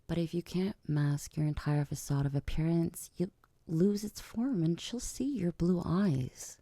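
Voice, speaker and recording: A woman playing a regal, teasing vampire queen in an ASMR audio roleplay, speaking in a measured, hushed manner with breathy asides. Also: fairly low pitch, no room sound, clean studio sound. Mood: measured, serious. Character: regal, teasing vampire queen, composed older-cousin type.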